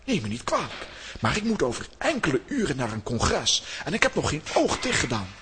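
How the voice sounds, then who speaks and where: An actor playing an irritable but helpful male professor in a children's radio play, brisk and lecturing. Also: a little high, wildly swooping pitch. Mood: irritated, instructive. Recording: clearly noisy recording with clicks.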